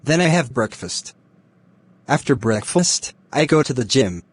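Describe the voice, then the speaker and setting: A man plays a courteous, easygoing young male celebrity in an educational cartoon. His pitch is average for a man. He delivers scripted lines deliberately, in a dry recording without any echo. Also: sing-song, breathy edge. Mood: pleasant, courteous. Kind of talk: scripted English-learning dialogue.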